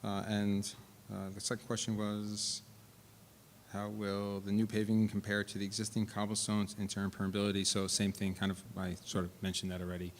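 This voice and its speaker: A man with a very low, utterly flat voice answers questions plainly and technically, with many fillers. The sound has a long hall echo and faint noise behind it. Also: brisk. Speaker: project engineer answering questions. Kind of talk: hearing presentation.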